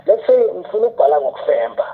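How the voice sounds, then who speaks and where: A man's voice: sits high, very animated, very fast. A man with a South African accent explaining things in an interview, posing rhetorical questions and dropping in English phrases, through a narrow phone-like recording. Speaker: radio drama scriptwriter and teacher, interview guest. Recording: tinny, narrow phone-like sound.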